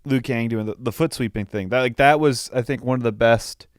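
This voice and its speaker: A man's voice: mid-range voice, a little fast, smooth, no room sound, studio quality. A man co-hosting a podcast, giving opinionated, mildly profane takes in casual movie-review chat. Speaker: podcast co-host.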